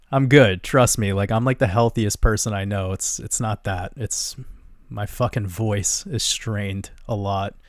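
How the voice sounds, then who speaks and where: A young man with a gentle voice hosting a livestream, casually chatting and answering viewer comments in a relaxed, rambling way with easy laughs. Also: on the low side, slow, dry recording, studio quality. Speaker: livestream host.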